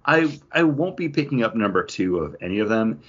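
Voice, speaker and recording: A man co-hosting a comic book podcast, giving measured opinions with asides and steering the casual discussion. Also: on the low side, big pitch swings, lo-fi sound, slight background noise.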